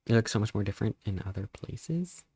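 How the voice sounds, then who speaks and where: A man in his thirties, a live streamer chatting casually and greeting viewers, rambling playfully with sudden squeaky jumps in pitch. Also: expressive, brisk, warm tone, breathy edge, no echo. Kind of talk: casual live-stream chat reading chat messages.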